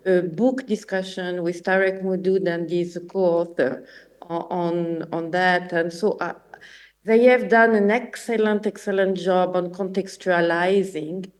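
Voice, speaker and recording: A woman from France with a French accent, giving spontaneous academic commentary in a halting, emphatic way. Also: lowish voice, fairly even intonation.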